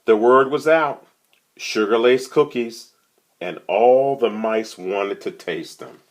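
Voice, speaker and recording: A man's voice: mid-range voice, slow. A man reading a picture book aloud to children, conversationally, with casual asides and questions to the listener. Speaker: storytime reader.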